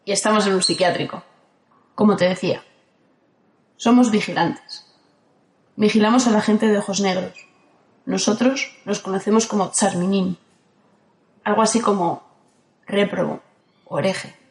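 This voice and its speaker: A woman from playing a calm, ageless guardian who speaks in a steady, firm way in an audio drama. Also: medium pitch, moderate pace, pauses often, lightly acted. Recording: roomy echo.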